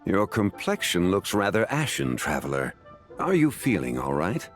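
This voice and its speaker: Deep-voiced refined scholarly gentleman. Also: huge pitch swings, loud music behind the voice. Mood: courteous, composed. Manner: formal, courteous, measured. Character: refined, composed, scholarly male gentleman consultant. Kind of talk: video game acting.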